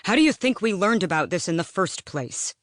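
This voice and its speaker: A woman's voice: lowish voice, very animated, a little fast, no room sound, clean studio sound, voice pushed up. A woman voicing a defiant young male assassin in an audiobook, with heated, scornful retorts. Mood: bursting out.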